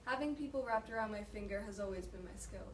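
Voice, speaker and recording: A woman performing an ambitious army lieutenant in a deliberate, declamatory slam poetry recital. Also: mid-range voice, natural voice. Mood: smug. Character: ambitious, self-assured army lieutenant, later haunted. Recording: noisy, echoey room, mic far off.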